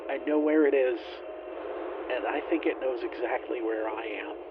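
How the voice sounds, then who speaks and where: Through a dull, radio-filtered recording with clear background noise comes a man acting as a worn-out spaceship engineer, the last survivor of his crew. He speaks haltingly, with sardonic, sweary resignation, as if logging entries in a sci-fi audio drama. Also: medium pitch. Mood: grim, bitter. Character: weary male spaceship flight engineer, doomed lone survivor. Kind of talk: science fiction audio drama log recording. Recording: noisy, band-limited radio-style log recording.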